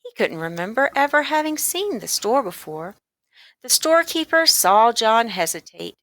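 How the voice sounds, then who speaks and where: Expressive woman reading a children's story, bright voice. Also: fairly high pitch, moderate pace, no echo. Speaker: storybook reader. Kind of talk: scripted narration, children's read-aloud.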